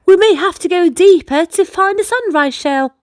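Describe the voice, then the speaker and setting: A woman's voice: extremely high pitch, lively intonation, normal speed, dry recording, studio quality, pitched above the performer's own voice. A woman voicing an eager, protective young boy, the older brother in a storybook, with urgent, emphatic delivery. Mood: determined, urgent.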